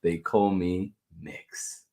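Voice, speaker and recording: A man with a fairly low voice hosts a live story time for children. He speaks in an unhurried, halting way, and is effusive as he addresses his listeners. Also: level tone, mellow. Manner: effusive, repeatedly thanking and greeting listeners. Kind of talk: live show hosting, greeting viewers.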